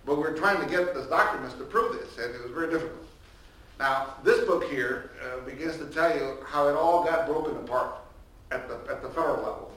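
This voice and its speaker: A man's voice: on the high side, fairly quick. Older man projecting in a hall. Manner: loud projecting voice with many restarts and fillers. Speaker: professor and panel speaker. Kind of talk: unmiked panel talk, conversational lecturing. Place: large echoey hall, speaking without microphone. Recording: roomy echo, distant from the mic, faint ambience.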